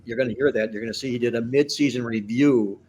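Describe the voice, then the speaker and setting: A man's voice: mid-range voice, quick pace, a little mellow, a little hiss or hum. A man hosting a sports betting podcast roundtable, chatty and stat-heavy, joking along the way while he is losing his voice. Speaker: podcast host.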